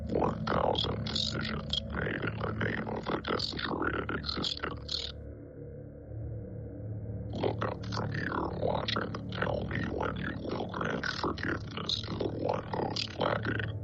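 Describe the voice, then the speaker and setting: A man playing a tormented, isolated analog horror protagonist, grave, halting and bleak. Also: very deep voice, monotone, gravelly, exaggerated voice. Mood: anguished, bleak. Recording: muffled lo-fi audio under loud music.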